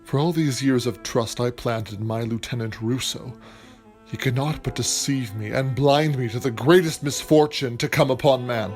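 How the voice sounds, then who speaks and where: A man's voice: mid-range voice, normal speed, a little mellow, faint music behind, lightly acted. A man playing a passionate, grandiloquent playwright in a period audio drama, speaking in a florid, declamatory and earnest way. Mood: brooding, vengeful. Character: passionate, grandiloquent male playwright scheming for material. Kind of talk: theatrical period stage-play acting.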